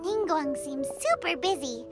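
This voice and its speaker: A woman voicing a tiny, childlike, excitable fairy companion in a video game, with a squeaky, eager delivery. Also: measured pace. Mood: eager, curious. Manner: eager, talks of self in third person. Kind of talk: animated video game voice acting. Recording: music behind the voice.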